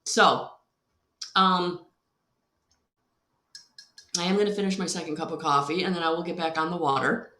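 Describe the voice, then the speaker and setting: A woman's voice: steady tone, normal speed, pauses often, pushed and bright. Congested woman in an echoey room. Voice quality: deeper than usual. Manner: rambling, many ums, greets viewers by name. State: congested from a cold and allergies. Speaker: oracle card reader. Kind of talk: casual live-stream chat.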